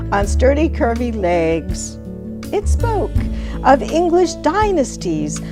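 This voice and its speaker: An older woman speaks with deliberate care at a very slow pace. Her voice sits a little high. Plucked guitar music plays clearly behind her. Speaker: poet and show host. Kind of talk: dramatic poetry reading and casual conversation.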